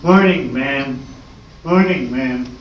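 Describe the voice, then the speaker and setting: A terse police sidekick acted by a man gives slow, deadpan lines in a radio crime spoof. The recording is dull and distant, with stage echo. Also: medium pitch, a little hiss or hum, natural voice. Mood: terse, official. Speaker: amateur radio play actor. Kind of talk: parody of old radio police drama. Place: live stage performance in a hall.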